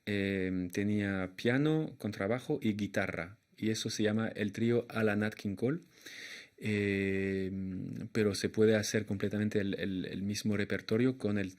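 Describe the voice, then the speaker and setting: A young man with a slight French accent, a jazz pianist answering interview questions in a hesitant way, often pausing and restarting as he looks for words. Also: fairly low pitch, a little mellow. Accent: slight French accent in Spanish. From Argentina and France.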